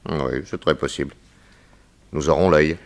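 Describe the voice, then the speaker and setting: An actor playing a shrewd, unflappable private detective, probing and dryly confident, in 1960s French radio drama style. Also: male voice, extremely low pitch, steady tone, unhurried.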